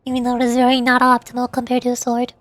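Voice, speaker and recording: A woman with a high-pitched voice chats casually to her livestream viewers. She rambles in a halting way, circling back over words. The sound is close and dry, with soft music underneath. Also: completely flat delivery, no room sound. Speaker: VTuber livestreamer.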